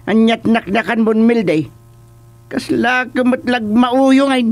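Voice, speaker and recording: A man's voice: very high-pitched, steady tone, takes it slowly, no echo, full character voice. A man playing an exasperated, grumbling village chairman in a comedic radio drama.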